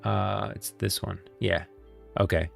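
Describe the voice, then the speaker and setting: A man's voice: lowish voice, fairly even intonation, slow, creaky, soft background music. A man giving casual, off-the-cuff livestream commentary, thinking aloud and offering opinions as he explains a story. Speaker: live streamer and lore commentator.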